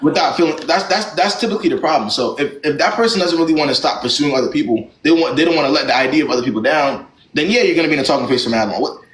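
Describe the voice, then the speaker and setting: A man's voice: a little high, rapid-fire, hardly any pauses, a bit bright, reverberant, poor audio. A young man hosting a live stream who gives callers blunt, slangy relationship advice in a lecturing way.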